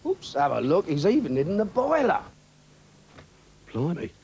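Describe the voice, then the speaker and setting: A man's voice: high-pitched, huge pitch swings, normal speed, a little mellow, lo-fi sound, a little hiss or hum, full character voice. A man playing a cheeky house-minder in a television advert, chatting in wry asides over music. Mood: wry, nosy. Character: cheeky man left minding a mate's house. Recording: music behind the voice.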